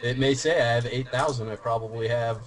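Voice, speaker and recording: A man with a mid-range voice talks earnestly and steadily in casual podcast chat. He explains his views like a mentor, calm and measured. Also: mid-range voice, slight background noise. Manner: earnest, advice-giving, steady. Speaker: YouTube commentator.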